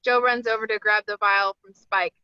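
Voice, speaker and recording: A woman's voice: mid-range voice, fairly even intonation, normal speed, clean tone, dry recording, dull audio. A woman reading screenplay stage directions as the narrator at a table read.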